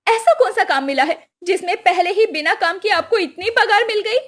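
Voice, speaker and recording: A woman's voice: very high-pitched, expressive, moderate pace, fairly bright tone. A woman playing an anxious, scolding village wife in a cartoon moral story, exclaiming and questioning. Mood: suspicious.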